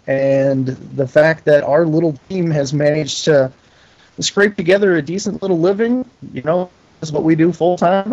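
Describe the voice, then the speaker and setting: A man's voice: on the high side, darkish tone, highs cut off. A middle-aged man giving a casual interview as a guest, talking in a folksy, self-deprecating and enthusiastic way. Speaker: interview guest, pinball company owner.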